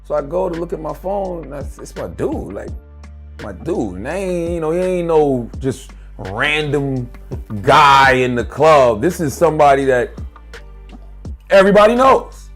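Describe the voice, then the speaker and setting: A man's voice: a little high, sing-song, a little slow, a bit bright. An American man, an interview guest, tells a story casually and with animation. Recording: music laid under interview clip.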